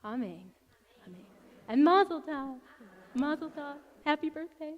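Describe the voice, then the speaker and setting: A woman of the clergy reciting a congregational blessing in a measured, prayerful cadence. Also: sits high, lively intonation, dull audio. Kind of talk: liturgical blessing. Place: sanctuary. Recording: long hall reverb.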